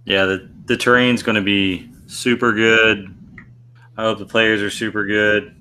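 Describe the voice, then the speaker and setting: A man's voice: fairly low pitch, level tone, unhurried, bright, forceful tone, smooth. An American man co-hosting a podcast, bantering in easy casual chat. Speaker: podcast co-host.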